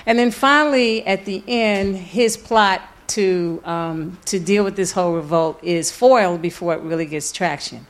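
Female lecturer, slightly low register, informal and emphatic, leaning on key words. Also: unhurried, hardly any pauses. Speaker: lecturer and author. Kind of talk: informal lecture with audio clips.

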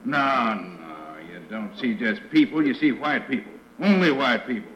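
A man's voice: mid-range voice, expressive, moderate pace, a bit bright, echoey room, close to an everyday voice. Man acting train conductor, folksy, commanding, 1940s radio play, muffled noisy recording. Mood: gruff, impatient. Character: train conductor, courteous then gruff enforcer of segregation.

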